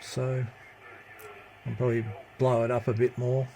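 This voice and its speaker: A man giving a casual, hands-on CB radio hobby demonstration in a halting, matter-of-fact way, recorded on a handheld phone. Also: medium pitch, completely flat delivery, dark tone. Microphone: handheld phone camera. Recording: noticeable background noise, handheld phone recording.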